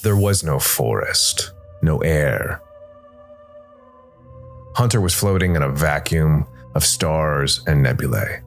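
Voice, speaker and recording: A man hosting and narrating a scripted story podcast in a measured way, with dramatic pauses. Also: very deep voice, vocal fry. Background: soft music bed.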